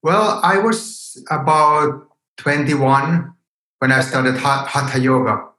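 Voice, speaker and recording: A man's voice: medium pitch, slow, bright, forceful tone. A man in his fifties from Finland with a Finnish accent, answering hesitantly as a guest in an interview and searching for words. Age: 50s. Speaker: podcast guest, yoga teacher. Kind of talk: unscripted interview conversation. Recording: roomy echo on the voice.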